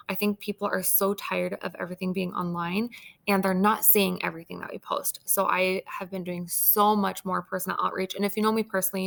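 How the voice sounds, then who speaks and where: Excited team leader lady, lots of vocal fry, kinda scratchy throat, chatty training vibe. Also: mid-range voice. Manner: upbeat, enthusiastic, chatty. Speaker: team leader of sales consultants. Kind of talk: casual training talk.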